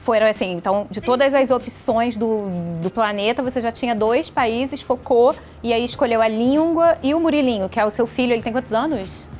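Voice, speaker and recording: A woman's voice: a little high, brisk, hardly any pauses, a little mellow, slight background noise. A woman with a Brazilian accent hosting a podcast and interviewing a guest in a conversational way. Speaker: podcast host.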